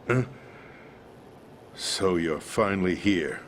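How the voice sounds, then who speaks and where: An American actor playing a bitter, ambitious middle-aged president in a video game, theatrical, world-weary and cynical. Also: male voice, deep voice, slow pace, a little hiss or hum. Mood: wary, resigned. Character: bitter, ambitious male president turned power-hungry conspirator. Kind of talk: dramatic video game voice acting. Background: music.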